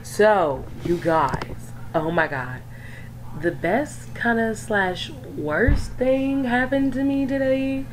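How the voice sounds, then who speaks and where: A young woman, hoarse from being sick, chatting in a rambling, casual way with lots of fillers while talking over a screen recording. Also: mid-range voice, expressive, slow pace. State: hoarse from illness. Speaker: gaming YouTuber. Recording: faint background noise.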